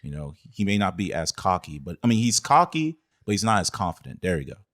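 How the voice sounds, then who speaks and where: Very deep-voiced male podcast host. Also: sing-song, brisk, fairly bright tone. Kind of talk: casual film discussion.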